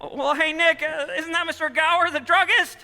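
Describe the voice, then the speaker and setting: Man acting bewildered small-town everyman, very high bright voice, stammering, folksy, radio play, reverberant hall. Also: steady tone, fairly quick, heavily acted. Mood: frantic. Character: agitated, bewildered small-town everyman wishing he was never born. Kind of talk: stage radio-play acting in 1940s manner. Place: theatre hall, live performance. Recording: live stage taping in reverberant hall.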